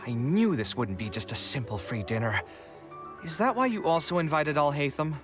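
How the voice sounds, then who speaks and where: A man voicing an expressive, sardonic young architect in video game dialogue, with a theatrical, wry delivery. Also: a little high, normal speed, close to an everyday voice. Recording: game music behind the voice.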